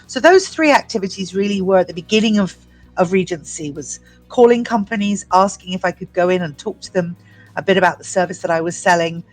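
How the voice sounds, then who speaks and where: A woman in her fifties, from the UK with an English accent, telling her story as an entrepreneur in a measured, articulate way. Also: on the low side, faint music behind, poor audio. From United Kingdom. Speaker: guest entrepreneur. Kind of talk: prepared personal story.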